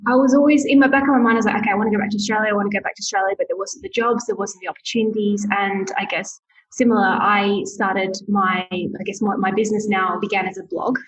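A woman's voice: mid-range voice, rough recording. Woman in her thirties telling her story. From grew up in Zambia. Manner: lively storytelling, halting restarts. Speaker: guest, dietitian. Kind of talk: casual interview chat.

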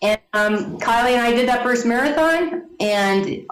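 A woman in her forties telling her story to an interviewer, animated, laughing often and acting out the people she quotes. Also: a little high, a little slow. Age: 40s. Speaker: guest. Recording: echoey room.

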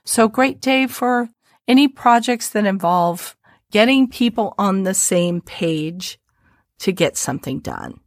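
A woman's voice: mid-range voice, takes it slowly, dry recording. Woman, Midwestern American twang, podcast co-host, easygoing explainer, dry close-miked. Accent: Midwestern American, a little twangy. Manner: explanatory, relaxed, uses homely images. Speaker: astrologer co-host. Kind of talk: podcast astrology chat.

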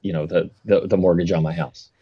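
A man chatting as a podcast guest, easygoing and laughing often, with animated asides. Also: sits low, fairly quick, breathy edge, highs cut off. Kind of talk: casual interview chat.

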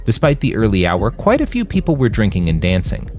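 Low-voiced, highly expressive male narrator. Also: brisk. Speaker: author and narrator. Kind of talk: scripted fiction narration.

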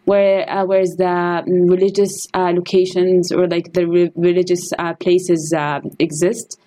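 A woman's voice: lowish voice, little pitch movement, unhurried, mellow. A young woman from Saudi Arabia, a graduate student and podcast guest, who talks casually and hesitantly with many fillers and restarts. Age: young adult.

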